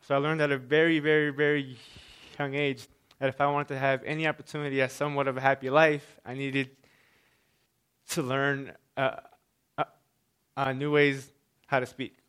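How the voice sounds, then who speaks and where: A man's voice: mid-range voice, level tone, moderate pace, fairly bright tone, clean tone. Stuttering man giving a heartfelt talk. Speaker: speaker giving a stage talk. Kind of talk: personal talk to an audience.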